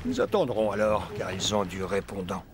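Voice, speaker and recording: A man's voice: lowish voice, wildly swooping pitch, normal speed, heavy background noise. A man voicing a scheming cartoon crook who leads a gang, with a sly, plotting manner. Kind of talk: French cartoon voice acting. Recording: music behind the voice.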